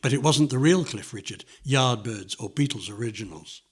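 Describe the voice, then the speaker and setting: An older man reads a nostalgic memoir as scripted narration, in measured, descriptive phrases. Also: mid-range voice, lively intonation, breathy edge. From London, England.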